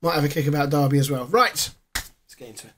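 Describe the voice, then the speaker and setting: This is a hoarse man, fairly high for a man, chatting casually on a gaming podcast. He rambles with fillers, recorded on a desk mic in a small home room. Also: fast talker, background noise. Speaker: podcast co-host.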